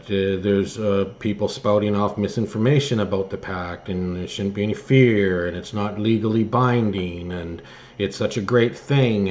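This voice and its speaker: A man giving political opinion commentary as host, speaking in a halting, hesitant way with phrases that trail off. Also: fairly low pitch, a little mellow, a little hiss or hum. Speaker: host of an online political news commentary show. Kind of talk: political opinion commentary, partly read from articles.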